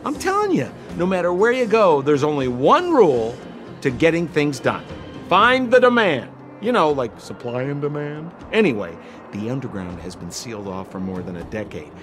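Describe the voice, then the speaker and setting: Shifty young game con man, lively swooping pitch, wheedling salesman patter, music behind. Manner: theatrical, exaggerated, fast-talking salesman patter. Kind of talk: video game voice acting.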